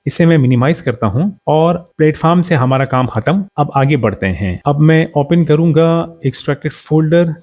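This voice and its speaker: Mellow-voiced man giving tech instructions. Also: on the high side, expressive, moderate pace, few pauses. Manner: instructional, mixes English tech terms into speech. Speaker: tech tutorial presenter. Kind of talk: step-by-step how-to narration.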